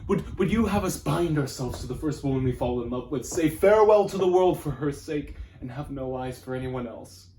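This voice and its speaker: A man's voice: fairly high pitch, expressive, a little fast, hardly any pauses, mellow. A man performing an arrogant, passionate womanizing nobleman in a theatrical, declamatory classical stage monologue. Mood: indignant, scornful. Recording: noisy amateur room recording.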